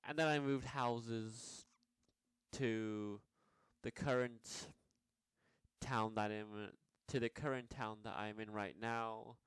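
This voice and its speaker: A man's voice: mid-range voice, fairly even intonation, slow pace, clean tone, no echo. A young man from Nepal telling his life story in a rambling vlog, with many fillers and restarts. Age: young adult. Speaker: vlogger.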